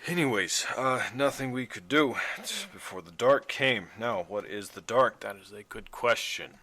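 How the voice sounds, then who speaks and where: An actor playing a weary vehicle mechanic recording a sombre log in an audio drama, speaking in a halting, reflective way. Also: male voice, pushed and bright. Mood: explaining. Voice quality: low gravelly voice. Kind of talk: audio drama monologue, naturalistic. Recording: music running under the voice. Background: musical underscore.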